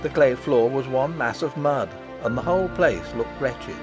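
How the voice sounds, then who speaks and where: A man narrating an audiobook in an expressive, dramatic storytelling style. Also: medium pitch, moderate pace. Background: background music.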